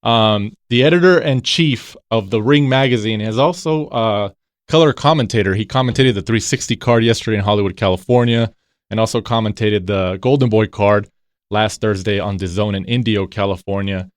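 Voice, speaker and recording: Low-pitched male host, relaxed and chatty, echoes his co-host, dry close sound. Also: fairly quick. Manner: relaxed, filler-heavy, repeats others' phrases. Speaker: podcast host. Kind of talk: casual podcast chat.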